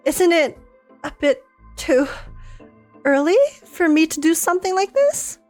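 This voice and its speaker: A woman's voice: very high-pitched, measured pace, a little mellow, soft background music, pitched above the performer's own voice. A woman voicing a shy, flustered young student intern in a dramatic story read-aloud, stammering and hesitant.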